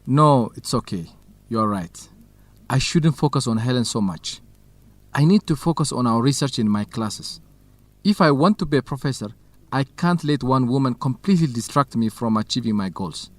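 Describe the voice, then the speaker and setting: A man portrays a lovesick, earnest young student in a radio drama for learners. His pitch is mid-range for a man. The recording is dry and free of room echo. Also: lively intonation, normal speed, close to an everyday voice. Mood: earnest, resigned. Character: lovesick young male student aspiring to be professor. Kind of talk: educational radio drama acting.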